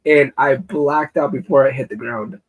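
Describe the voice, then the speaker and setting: Husky, animated man telling stories. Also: mid-range voice, normal speed, low-quality recording. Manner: animated storyteller who laughs often. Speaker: musician guest. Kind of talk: casual chat on a live stream.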